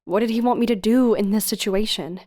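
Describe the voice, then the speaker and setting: A woman's voice: mid-range voice, a little fast, mellow, natural voice. A woman playing a worried, insistent young woman in an audio drama, speaking with urgent, emotionally tense questioning. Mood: grave narration. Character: worried, insistent young woman on a tense phone call, narrating her story. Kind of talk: audio drama acting with first-person narration.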